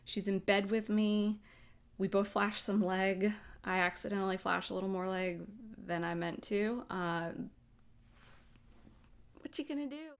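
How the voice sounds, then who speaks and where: A woman's voice: mid-range voice, unhurried, pauses often, clean tone. An American woman hosting in a casual, playful way, rambling informally as she chats. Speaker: host. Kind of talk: casual, chatty vlog talk.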